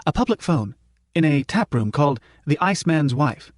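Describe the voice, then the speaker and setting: A man's voice: on the high side, moderate pace, no echo, studio quality, pitched above the performer's own voice. A man doing a polite, precise male computer. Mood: formal. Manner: formal, precise, even. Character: polite male computer, calm, literal-minded.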